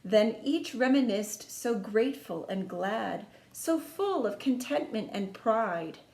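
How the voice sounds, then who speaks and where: A woman reading a children's storybook aloud in an expressive, sing-song storytime manner, speaking to young listeners like a teacher. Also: on the high side, takes it slowly. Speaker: music teacher reading a picture book to children. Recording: echoey room.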